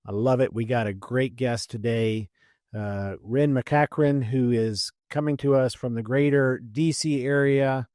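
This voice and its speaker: A man's voice: mid-range voice, steady tone, unhurried, a little mellow, dry recording, clean studio sound. Upbeat man hosting a podcast. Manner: upbeat, welcoming host patter. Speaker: podcast host. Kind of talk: podcast intro and interview.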